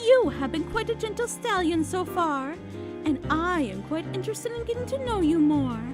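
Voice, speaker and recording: An elegant, flirty mare of high fashion in an audio drama. Her voice is extremely high and swoops with lively intonation, poised and theatrical, over faint music. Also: normal speed. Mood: charming, keen. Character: elegant, refined, flirtatious female pony fashionista. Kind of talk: fan audio drama character acting.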